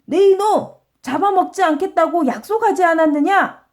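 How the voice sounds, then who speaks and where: A woman's voice: very high voice, normal speed, pitched above the performer's own voice. A woman voicing an earnest, indignant male traveler in a children's storybook, with exclamatory, old-fashioned phrasing. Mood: indignant, alarmed.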